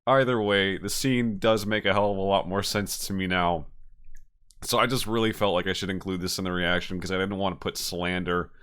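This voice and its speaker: Male reaction host, slightly low voice, halting and emotive, off-the-cuff commentary. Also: fairly even intonation, fairly bright tone, clean tone. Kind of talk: unscripted casual reaction commentary.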